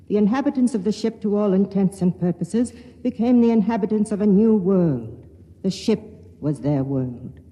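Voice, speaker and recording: A grave secret chronicler in an old radio play, solemn and measured. The voice is very dark and mellow. A long reverb trails every phrase. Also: male voice, high-pitched. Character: secret male chronicler, grave, reading his forbidden account. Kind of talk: 1950s radio drama acting. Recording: reverberant vintage radio recording.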